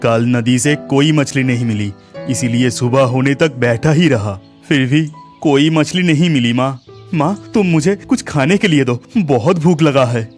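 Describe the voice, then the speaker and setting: A man's voice: mid-range voice, unhurried, a little mellow, faint music behind, natural voice. A man voicing a plucky, boastful young village fisherman in a cartoon story, earnest and insistent. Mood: weary, pleading.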